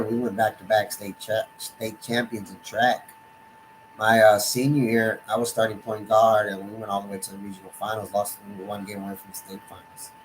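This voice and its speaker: A man's voice: fairly low pitch, slight background noise, poor audio. A middle-aged man from Texas with a Texan accent, hosting his own sports stream in a loose, rambling monologue full of restarts and fillers. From Texas, USA. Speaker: sports channel host. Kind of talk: live-stream casual monologue. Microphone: desktop computer setup.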